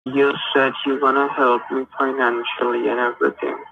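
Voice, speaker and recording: A man's voice: medium pitch, level tone, normal speed, vocal fry, breathy edge, faint music behind. A man on the phone, insistently repeating questions and pressing for details. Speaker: phone scammer. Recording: muffled phone line.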